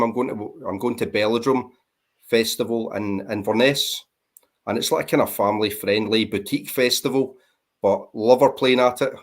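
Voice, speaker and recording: A man with a Scottish accent, chatting casually and self-deprecatingly as a guest on a livestream. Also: on the low side. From Scotland. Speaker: guest on panel. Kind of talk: casual chat.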